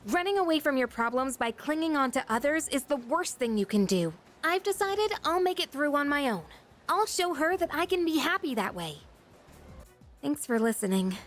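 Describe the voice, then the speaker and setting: A guarded, self-reliant teenage girl in an anime-style game. Her voice is very high and widely inflected, frank and firm. Quiet music runs underneath. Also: big pitch swings, moderate pace. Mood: resolute. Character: proud, independent teenage girl, guarded and resolute. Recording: music behind the voice.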